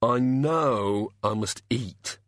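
A man voicing Bilious, a queasy, pitiful male god of hangovers who groans and mumbles haltingly. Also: mid-range voice, fairly bright tone, dry recording, clean studio sound.

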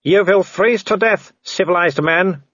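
Gleeful, high-pitched werewolf villain. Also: male voice, a bit bright, no room sound, lo-fi sound. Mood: gleeful taunting. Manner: mocking, halting non-native phrasing. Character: cheerfully cruel foreign male werewolf villain, taunting.

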